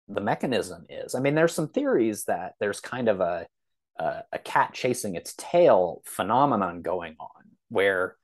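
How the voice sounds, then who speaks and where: Low-voiced man in thoughtful conversation. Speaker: podcast guest, author. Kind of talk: casual, thoughtful interview talk.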